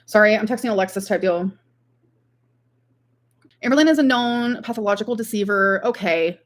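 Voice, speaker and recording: A woman's voice: mid-range voice, moderate pace. Rambling casual woman livestreamer. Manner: rambling, frequent "like". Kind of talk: casual livestream chat, reading out viewer comments.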